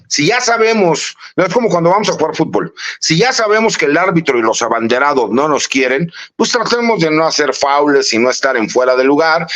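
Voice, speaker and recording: A man in his late forties, a lawyer, giving casual analysis in an interview and speaking warmly and familiarly to the host. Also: on the high side. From Mexico. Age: late 40s. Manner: warm, conversational, often says "mi querido Manuel". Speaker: lawyer and political analyst guest.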